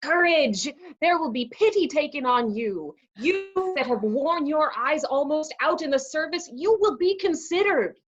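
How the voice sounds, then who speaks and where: A woman's voice: high voice, expressive, unhurried, few pauses, highs cut off. A woman playing a cheeky, streetwise male servant in a staged Shakespeare comedy reading, with jesting patter. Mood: jaunty, consoling.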